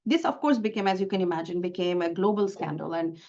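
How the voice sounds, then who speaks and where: A woman's voice: on the low side, fast talker, a little mellow, muffled recording. A woman from Bangladesh with a Bangladeshi accent, a native Bengali speaker, presenting slides in a webinar in an earnest, explanatory way and stressing words by repeating them.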